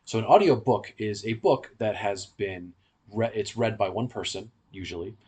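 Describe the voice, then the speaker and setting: A man's voice: lowish voice, moderate pace, darkish tone, slightly rough. A man hosting a podcast, explaining things at length in a conversational discussion.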